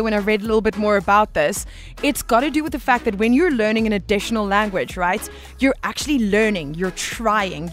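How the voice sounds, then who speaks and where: Lady radio host, friendly and chatty, calls everyone baby. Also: medium pitch. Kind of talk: lively radio call-in hosting.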